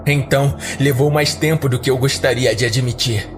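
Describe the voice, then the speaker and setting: A man's voice: medium pitch, steady tone, moderate pace. A man with a Brazilian accent, a podcast host, giving a dramatic scripted first-person horror narration. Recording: background music under the voice. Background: music.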